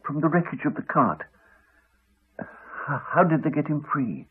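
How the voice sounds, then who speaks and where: An actor playing an earnest, wry, reflective doctor in a 1980s radio drama. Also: male voice, fairly high pitch, sing-song, unhurried, husky, exaggerated voice. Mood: gentle, probing. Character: earnest male doctor, reassuring, wry, later weary and dying. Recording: muffled, narrow-band 1980s radio broadcast.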